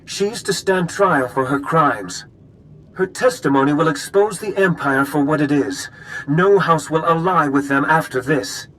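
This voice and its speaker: An actor playing a courteous, dutiful noble house envoy in a video game, formal and polite. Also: male voice, a little high, a little slow, fairly bright tone, rough recording. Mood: grateful, resolute.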